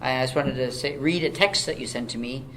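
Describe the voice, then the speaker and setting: A man co-hosting a casual movie podcast, talking in an animated way and often mimicking his co-host. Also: medium pitch, brisk. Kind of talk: casual chat.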